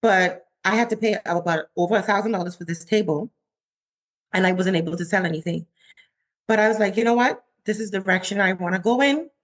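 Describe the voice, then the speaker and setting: Woman chef, 40s, from the Bahamas; lively storyteller, mid-pitched, casual interview guest. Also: a little fast, rough recording. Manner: animated, acts out her own quotes with rising pitch.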